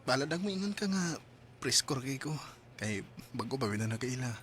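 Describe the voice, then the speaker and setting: An actor playing an eager, bashful young suitor in a radio drama, wooing hesitantly with a Cebuano Filipino accent. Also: male voice, mid-range voice, animated, normal speed, fairly bright tone, slight background noise, close to an everyday voice.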